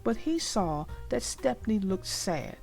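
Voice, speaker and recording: A woman reads a children's storybook aloud with very lively intonation and a slow, deliberate pace. Her voice has some creak, and soft music plays behind it. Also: medium pitch. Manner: deliberate, expressive, addressing young children. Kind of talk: expressive storybook read-aloud.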